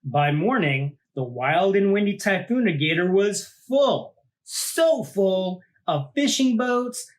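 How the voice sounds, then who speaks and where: A man, a teacher, reading a children's picture book aloud in an informal, expressive way with casual asides. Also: sits high, slow.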